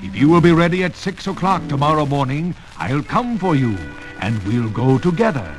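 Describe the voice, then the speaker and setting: A man doing a sly, slow-talking wolf. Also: animated, soft background music, close to an everyday voice, low-quality recording. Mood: sweet-talking. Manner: slow, drawn-out and booming. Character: big sly male wolf, menacing. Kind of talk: storybook reading.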